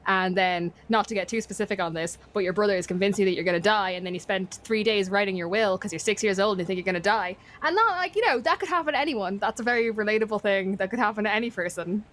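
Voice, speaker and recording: A woman's voice: medium pitch, fast, few pauses, a bit bright, a little hiss or hum. An Irish woman chatting casually as a guest, telling stories in a wry, self-deprecating way. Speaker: podcast guest. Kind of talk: casual storytelling chat.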